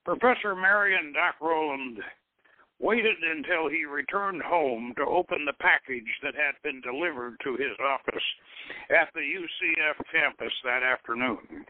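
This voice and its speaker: A man's voice: fairly high pitch, no echo. Man reading over a tinny phone line. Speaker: radio show host and author. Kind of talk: scripted announcement and dramatic reading of a novel.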